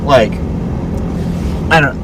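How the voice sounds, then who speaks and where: Rambling man over clear background noise. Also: drawn-out words. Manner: rambling, informal, often restating himself. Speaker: host of a group movie review. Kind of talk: casual unscripted movie review chat. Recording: noisy recording with loud outdoor traffic-like rumble.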